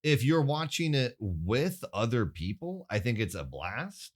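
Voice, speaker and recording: A man co-hosting a podcast, talking about a movie in an animated, giggly way. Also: medium pitch, unhurried. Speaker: podcast co-host. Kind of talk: casual movie review chat.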